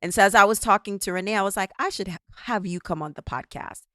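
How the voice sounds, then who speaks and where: A woman's voice: medium pitch, fast talker, a bit bright, dry recording, studio quality. A woman hosting a podcast interview, chatty and thinking aloud as she talks. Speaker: podcast host.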